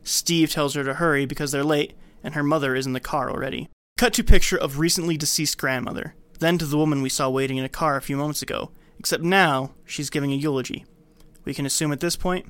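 A man with a slightly high voice hosts a film podcast. He reads a scripted recap and comments casually. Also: a bit bright, smooth, no echo. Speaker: podcast host.

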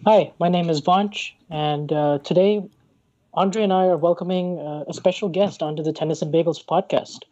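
Mellow co-host man, muffled audio. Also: on the high side, steady tone. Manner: measured, with many fillers. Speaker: podcast co-host. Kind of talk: casual podcast chat.

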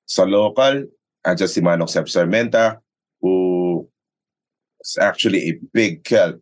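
A man's voice: sits low, unhurried. A Filipino-accented man, a sports radio commentator, explaining things conversationally in an interview, mixing English and Tagalog and checking in with tag questions. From Philippines. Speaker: sports radio play-by-play commentator and arena announcer.

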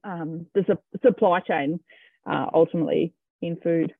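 This Australian man talks in a fairly high voice for a man, pausing often with ums and restarting his sentences as he explains his farm. His voice comes through a thin, phone-like line that sounds dry, with no room around it. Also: steady tone, gravelly, slightly rough, dry recording. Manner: hesitant, full of ums and restarts. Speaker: cattle farmer guest. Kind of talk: casual interview answers.

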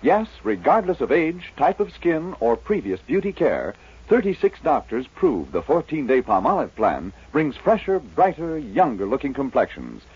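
A man's voice: mid-range voice, wildly swooping pitch, moderate pace, husky. An American man reading 1940s radio advertising in an emphatic, persuasive announcer's manner. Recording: muffled lo-fi 1940s radio broadcast.

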